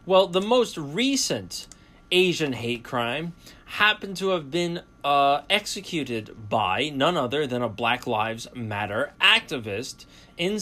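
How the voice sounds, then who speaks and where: A man's voice: on the high side, very animated, slow, pushed and bright. A man hosting a college radio talk show, delivering a boastful, sarcastic opinion monologue. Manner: boastful, sarcastic, self-mocking.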